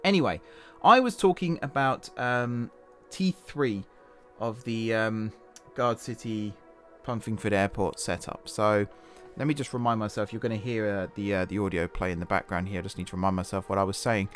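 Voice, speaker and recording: A man, slightly hoarse, narrating his own gameplay in a rambling, self-correcting, casual voice-over. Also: on the low side, moderate pace, a bit bright, faint music behind. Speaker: gaming channel host. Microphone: desktop voice-over mic.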